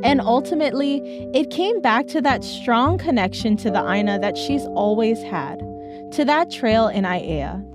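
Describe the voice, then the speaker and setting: Female documentary narrator, fairly high voice, measured scripted read over a music bed. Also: animated. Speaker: narrator and reporter.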